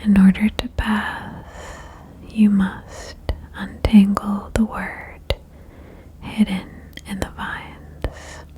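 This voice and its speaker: A woman whispering a guided ASMR meditation in a breathy, soothing way, with gentle instructions and long pauses. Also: medium pitch, level tone. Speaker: ASMR host guiding a relaxation game.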